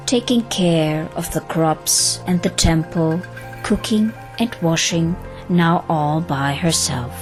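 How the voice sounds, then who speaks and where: A woman narrating a scripted audio drama as a storyteller. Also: on the low side. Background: music.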